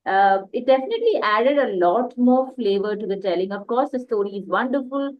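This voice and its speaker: A woman's voice: a little high, animated, a little fast. A woman from an audience giving casual spoken feedback. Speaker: audience member. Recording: dull, lo-fi sound.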